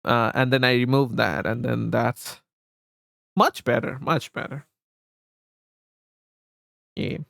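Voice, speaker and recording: Guy chatting on stream, slow n halting, repeats himself, close dry mic. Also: mid-range voice, steady tone, creaky, a bit bright, no room sound. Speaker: live streamer and host. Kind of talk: casual livestream chat with viewers. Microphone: close streaming microphone.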